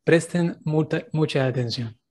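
A man's voice: fairly high pitch, a little slow. A man in his thirties, a priest hosting a friendly interview from a room, speaking into a desk microphone and laughing often. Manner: warm greetings, laughs often. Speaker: priest and host. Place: indoor room with a door behind. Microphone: desk microphone on stand.

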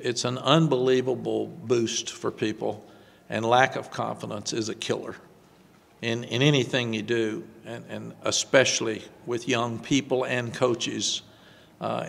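An older man, a head football coach, answering postgame press questions at a desk microphone, halting and repeating words before quick emphatic runs. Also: mid-range voice, vocal fry, fairly bright tone, in a large hall. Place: press room before a sponsor backdrop. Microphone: desk microphone on stand.

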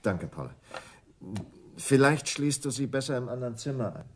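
An actor playing a cool, calculating husband and plotter in a radio crime drama, speaking in a controlled, commanding way. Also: male voice, medium pitch, very animated, normal speed, slight background noise, lightly acted.

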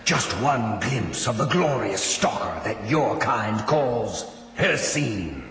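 An actor playing a proud, commanding hunting god in a fantasy video game, with a grand, theatrical and imperious delivery. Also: male voice, mid-range voice, expressive, slow, fairly bright tone, echoey room, in a large hall, faint music behind. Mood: solemn, imperious. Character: commanding, proud male spirit god of the hunt.

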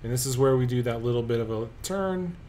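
A man's voice: mid-range voice, a little fast, slight background noise. Rambling man narrating his gameplay. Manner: relaxed, rambling, thinks aloud with many ums. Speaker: let's play host. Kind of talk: casual game commentary. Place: at a computer, voice-over of gameplay.

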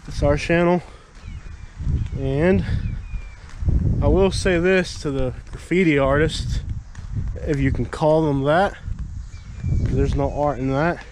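A man in his thirties vlogging casually outdoors, chatting and thinking out loud with lots of fillers, filmed on a handheld camera. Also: fairly high pitch, fairly even intonation, takes it slowly, long pauses, background noise. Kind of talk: casual walking vlog. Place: outdoors at an empty lot, open air. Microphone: handheld action camera at arm's length.

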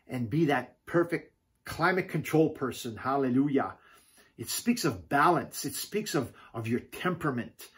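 A middle-aged man preaching a video sermon at home, animated, gesturing and calling out hallelujah often. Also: fairly high pitch, slightly rough. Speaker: pastor. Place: home living room, indoors.

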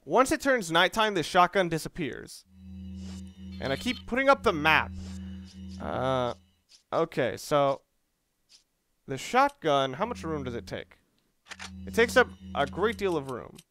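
A man's voice: a little high, very animated, a little slow, long pauses, sharp tone, soft background music. A man giving casual game commentary, rambling and thinking aloud as he plays. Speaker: let's player.

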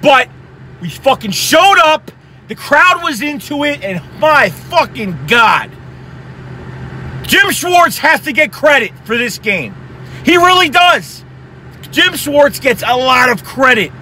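Hoarse, high-pitched ranting young man. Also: a little hiss or hum. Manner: excited, profane, rapid-fire ranting. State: hoarse from yelling. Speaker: sports fan vlogger. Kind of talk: heated post-game fan rant. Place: inside a car at night.

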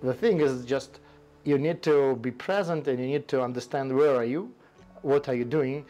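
A man in his forties with a Russian accent, speaking English as a second language in a halting, casual conversation on an outdoor balcony. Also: medium pitch, mellow, dull audio, soft background music. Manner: halting, restarts often, non-native grammar. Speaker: tea company host. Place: covered balcony outdoors, forest behind.

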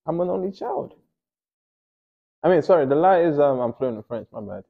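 A man's voice: a little high, expressive, normal speed, creaky, narrow, tinny audio. A young man from Nigeria chatting casually while he paints in a small home studio, often mumbling to himself as he works. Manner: laid-back, mumbles to himself while working. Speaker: painter and host.

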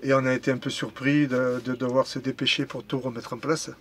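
A man's voice: medium pitch, little pitch movement, brisk, fairly bright tone. A middle-aged man giving hesitant, casual interview answers in a small indoor storeroom. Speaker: ski rental shopkeeper. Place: narrow indoor storeroom of ski boots. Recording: faint room noise.

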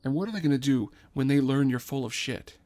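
A man's voice: medium pitch, brisk, dark tone, no room sound, natural voice. A man voicing an exasperated, reluctant space adventurer who grumbles his doubts in a storytelling podcast. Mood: worried, skeptical.

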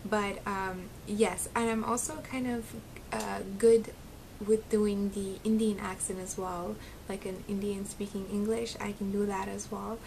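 A woman's voice: mid-range voice, flat delivery, measured pace, gravelly, a little mellow, a little hiss or hum, low-quality recording. A young woman with a slight Arabic accent, chatting casually and giggling to camera from her bedroom, with plenty of ums. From Syria. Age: young adult. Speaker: beauty vlogger. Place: small bedroom at home, indoors. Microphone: close webcam, seated facing camera.

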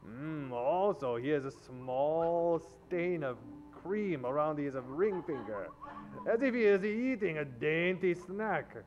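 High-voiced, wily Chinese general. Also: male voice, animated, over music. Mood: pondering. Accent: put-on Chinese. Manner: measured, formal, broken-English phrasing. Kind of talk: 1940s radio acting. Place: live stage before standing radio microphone. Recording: dull, lo-fi stage recording.

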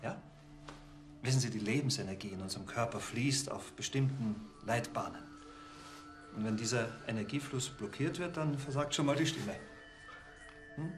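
Ein Schauspieler spielt einen plaudernden, selbstzufriedenen Heilpraktiker mittleren Alters in einer Krimikomödie, der mit neckenden Nachfragen redet. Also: male voice, mid-range voice, moderate pace, pauses often, music in the background, natural voice. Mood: breezy. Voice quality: chatty, cajoling, with teasing tag questions. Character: chatty male healer, middle-aged, breezy and smug. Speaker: alternative healer. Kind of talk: German TV crime comedy audio version.

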